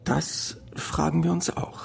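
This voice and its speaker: A man's voice: fairly high pitch, flat delivery, a little slow, gravelly. A man playing a matter-of-fact police inspector in a radio play, dry and factual in his delivery.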